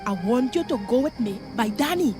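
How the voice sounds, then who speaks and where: A woman playing a nosy, melodramatic middle-aged neighbour with a Trinidadian accent in a radio play, bossy and quick to scold. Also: fairly high pitch, animated, dark tone, faint music behind, close to an everyday voice. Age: 40s.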